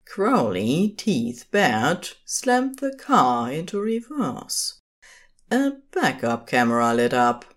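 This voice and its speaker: Very deep-voiced woman narrating, unhurried. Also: very animated. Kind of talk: scripted story narration.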